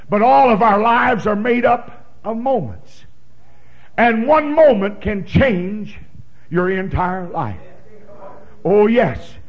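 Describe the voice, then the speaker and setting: A man preaching a sermon, reading scripture in a big booming voice and breaking into fervent shouts. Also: dull audio. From Oklahoma. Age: 60s. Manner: fervent, emphatic pulpit delivery with sudden shouts.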